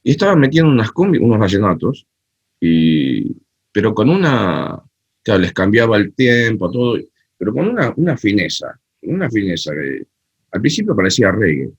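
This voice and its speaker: An Argentine-accented man speaks in a low, husky voice, recorded dry and a little muffled. He tells frank, lively stories in casual conversation. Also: normal speed, no room sound. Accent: Argentine Spanish. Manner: animated storyteller, frank and opinionated. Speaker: guest, cumbia festival creator. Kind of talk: casual interview chat.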